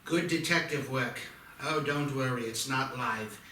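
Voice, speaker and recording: A grim, obsessed doctor in his fifties, read by a man at a table read, with a very bright, forceful voice. He presses his case earnestly. The sound is echoey, noisy and far from the mic. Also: medium pitch, unhurried. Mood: earnest, calmer.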